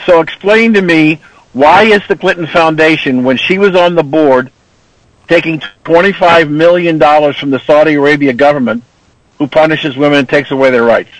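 A man's voice: a little high, normal speed, distant mic, poor audio. A man debating politics on a radio panel with insistent, pointed questions. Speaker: panel guest.

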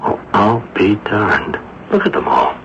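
A man's voice: lowish voice, level tone, slow pace. An actor playing a grumbling, skeptical police inspector in a 1970s radio drama, blunt and colloquial in his talk. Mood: surprised, curious. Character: grumbling, skeptical police inspector, the sleuth's plain-spoken sidekick. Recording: muffled, noisy old radio broadcast recording.

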